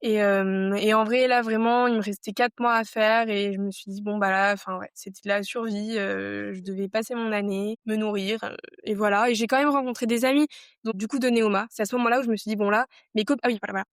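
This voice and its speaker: A woman's voice: medium pitch, steady tone, a little fast, smooth, no room sound, clean studio sound. A woman in her twenties from France, a podcast guest talking things over casually and reflectively, with many fillers and restarts. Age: 20s.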